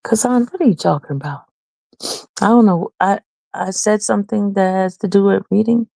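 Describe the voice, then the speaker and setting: A woman streamer speaks from the heart to her viewers, earnest and personal, her voice carrying a gravelly fry at a middle pitch. The sound is dry and close, with no room echo. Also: moderate pace, dark tone. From Haiti. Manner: earnest, heartfelt, reads chat aloud. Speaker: channel host. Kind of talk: casual livestream talk to viewers.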